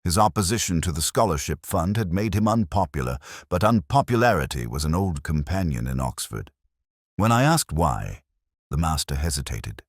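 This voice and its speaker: A man playing a weary, brooding, sharp-minded police inspector who narrates his own detective story in a measured, wry, literary way. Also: low voice, big pitch swings, no room sound, studio quality. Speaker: first-person narrator.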